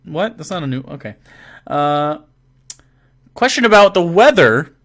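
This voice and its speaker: A man's voice: on the high side, sing-song, a little slow, fairly bright tone. A young man chatting casually on a live stream, reading out viewer messages and answering them with profane, rambling jokes. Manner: reads donations and chat messages aloud. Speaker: game master answering viewer questions.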